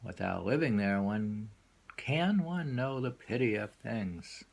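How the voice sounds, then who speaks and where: A man reading poetry aloud and discussing it informally, in a musing, halting way that lingers and repeats lines. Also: fairly low pitch, animated, gravelly, a little mellow, slight background noise. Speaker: poetry reader and host.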